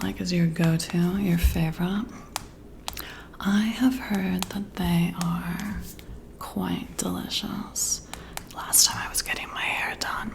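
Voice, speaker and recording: A woman acting out a friendly female boss in an ASMR roleplay, confiding and teasing after hours. Her voice is a soft half-whisper with very heavy vocal fry. She talks slowly and intimately, as if to one close colleague. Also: medium pitch. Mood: casual, friendly. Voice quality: breathy, whispery. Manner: slow, intimate, conversational. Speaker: ASMR performer.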